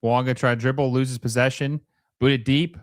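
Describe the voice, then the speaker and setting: A man's voice: medium pitch, fairly even intonation, normal speed, no room sound, clean studio sound. A man hosting a live soccer watch-along, giving casual play-by-play in short, clipped phrases with fan-like reactions. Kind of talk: casual live match commentary.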